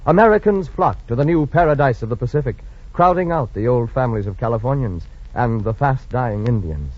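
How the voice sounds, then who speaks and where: A man's voice: mid-range voice, animated, fairly quick. A man narrating a scripted 1940s radio drama in a dramatic, grandiose, solemn manner. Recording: muffled old radio recording with music.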